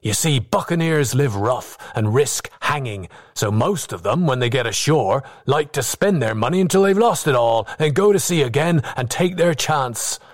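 A man voicing a scheming old pirate quartermaster, boastful and sly, in rough sailor's dialect with drawn-out, persuasive phrasing. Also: medium pitch, expressive, pitched above the performer's own voice, natural voice.

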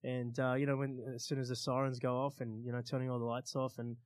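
Male Australian storyteller, conversational, average pitch, unhurried hesitations between phrases, dry room sound. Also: little pitch movement, dry recording. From Australia, born in Iran. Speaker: interview guest, stunt pilot and motivational speaker. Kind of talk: casual storytelling in an interview.

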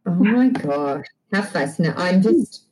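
A woman's voice: on the low side, expressive, unhurried, darkish tone, a little hiss or hum, low-quality recording. A woman hosting an interview, enthusiastic and gushing. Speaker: podcast host. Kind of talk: casual interview.

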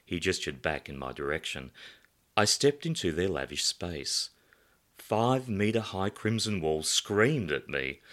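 Male author reading his novel, deep voice, highly animated first-person narration. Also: a little slow.

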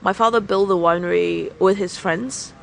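A woman's voice: on the low side, normal speed, vocal fry, a little hiss or hum. Woman, frank winery owner. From Hong Kong. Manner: frank, with frequent restarts and hesitations. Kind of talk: casual interview chat.

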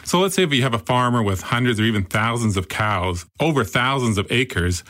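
A man with a Canadian accent, a founder explaining his product in an interview with quick enthusiasm. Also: mid-range voice, expressive, fairly bright tone. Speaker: startup founder. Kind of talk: interview answers.

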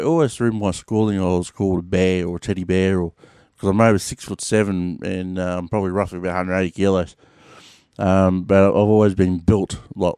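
A man's voice: sits low, dark tone, clear, resonant tone, no room sound, clean studio sound. A man with an Australian accent hosting his podcast in a casual monologue, rambling with lots of fillers and the odd swear word. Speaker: podcast host. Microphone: podcast mic.

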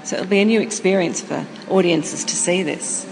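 A woman's voice: lowish voice, normal speed, creaky, background noise. A woman conducting a conversational podcast interview on location, thinking aloud as she prompts and affirms her guest. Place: indoors in a gallery exhibition. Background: exhibition film soundtrack music.

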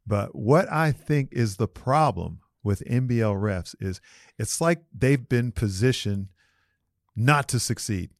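A man co-hosting a sports podcast, talking in a loose, slangy and opinionated way. His pitch sits in the middle, and the sound is dry and close with no room around it. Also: expressive, unhurried, creaky, dry recording. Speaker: podcast co-host. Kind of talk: casual sports chat.

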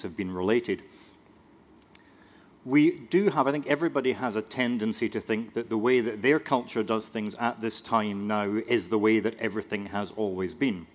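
A man speaking as a panelist, lecturing on history with dry self-deprecating humor. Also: lowish voice. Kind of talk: academic panel discussion.

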